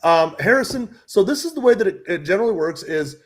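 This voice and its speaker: A man's voice: sits high, big pitch swings, fast talker, husky. A man co-hosting a live stream over a video call, friendly and chatty, often restarting sentences. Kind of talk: casual live-stream chat.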